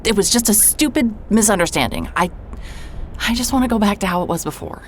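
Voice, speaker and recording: A woman's voice: medium pitch, lively intonation, brisk, a little hiss or hum, lightly acted. An actress narrating in first person as a sarcastic, guarded woman investigator who swears when she is upset. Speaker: narrator and lead character.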